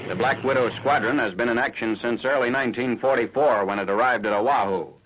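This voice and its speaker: An American man reading scripted 1940s military newsreel narration in a formal, factual, measured announcer style. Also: mid-range voice, fairly bright tone. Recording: noisy 1940s newsreel film soundtrack.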